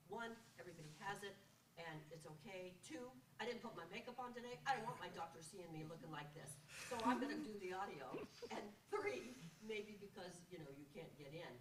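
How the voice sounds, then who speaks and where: Frank older woman, echoey distant hall. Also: on the low side. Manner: frank, emphatic, self-deprecating humor. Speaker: audience member asking a question. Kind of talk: panel Q&A comment. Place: conference hall audience. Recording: echoey hall, distant mic, room noise.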